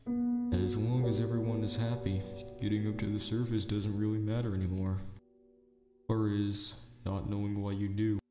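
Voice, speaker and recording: A man's voice: lowish voice, little pitch movement, natural voice. A man voicing a bitter, world-weary, menacing skeleton in a brooding, dramatic fan comic dub monologue. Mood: bitter, grim. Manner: brooding, deliberate. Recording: echoing voice under loud music.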